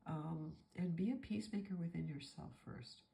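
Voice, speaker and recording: A woman's voice: on the low side, normal speed, gravelly, mellow. A woman astrologer with a stuffy nose from a cold, talking through a forecast in a meandering, thinking-aloud way.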